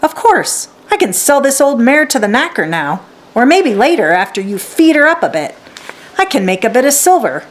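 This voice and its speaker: A woman voicing a gruff, stern and grasping man in a children's storybook, curt and dismissive. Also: high-pitched, sing-song, moderate pace, slight background noise, voice pushed up. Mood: smug, scheming. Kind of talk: children's storybook read aloud.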